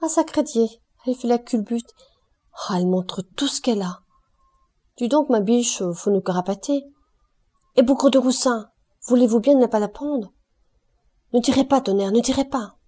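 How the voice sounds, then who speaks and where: A woman reading aloud and voicing a delirious, shouting male roofer with a hoarse voice who curses and raves. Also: medium pitch, measured pace, pauses often, natural voice. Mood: frantic. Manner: raving, shouting, cursing.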